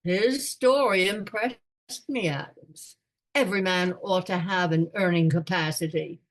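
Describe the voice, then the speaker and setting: A woman's voice: fairly low pitch, measured pace, close to an everyday voice. A woman playing a doddering, absent-minded elderly lord in a radio comedy, with a pompous, rambling manner. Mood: musing, genial. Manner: pompous, rambling aristocratic musing. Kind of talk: radio play comedy acting.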